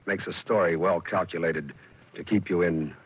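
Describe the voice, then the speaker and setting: A man's voice: low voice, steady tone, normal speed, loud music behind the voice. A man hosting a 1940s radio drama, giving a wry, folksy scripted introduction on a dull old broadcast recording.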